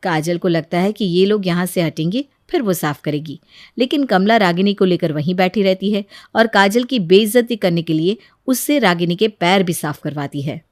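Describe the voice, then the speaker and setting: A woman's voice: lowish voice, expressive, moderate pace, no echo, clean studio sound. A woman reading scripted narration for a moral family story.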